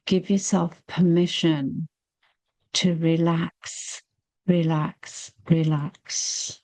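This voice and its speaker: Very slow, mellow woman guiding meditation. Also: low-pitched, flat delivery, dry recording, poor audio. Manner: soothing, gentle, unhurried with long pauses. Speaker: meditation guide. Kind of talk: guided meditation.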